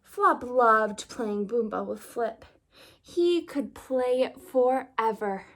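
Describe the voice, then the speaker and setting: A woman reading a children's story aloud as narrator, expressively, with deliberate phrasing for young listeners. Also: fairly high pitch.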